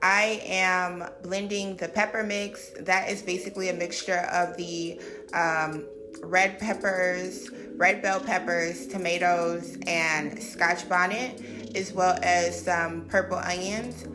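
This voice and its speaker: A woman's voice: on the low side, fairly even intonation, takes it slowly. A woman, a cooking vlogger narrating her video in a chatty, upbeat way with frequent fillers and laughs. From United States. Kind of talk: casual vlog narration. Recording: roomy echo with background music.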